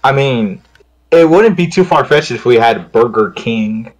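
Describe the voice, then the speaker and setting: A man's voice: medium pitch, lively intonation, a little slow, rough recording. A man in a playful, rambling group read-through, switching between casual joking and reading his part.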